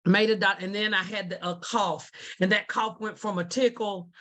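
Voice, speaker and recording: A woman with a deep voice, a former kindergarten teacher, telling a lively, animated story on a video call. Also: moderate pace.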